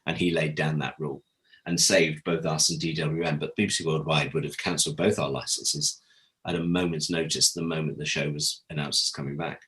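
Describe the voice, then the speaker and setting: A man with a low, deep voice speaks as an interview guest. He tells his stories candidly, in a conversational storytelling style, and voices the people he quotes. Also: fairly even intonation, fairly quick, fairly bright tone, low-quality recording. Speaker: interview guest, former audio drama producer. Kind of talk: animated anecdotal interview talk.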